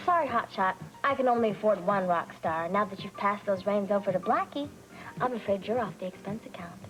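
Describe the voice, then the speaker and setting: Actress as a brisk, teasing manager, mid pitch, music underneath. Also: female voice, animated, natural voice, rough recording. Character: brisk young woman managing a rock star. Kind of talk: 1980s TV soap acting.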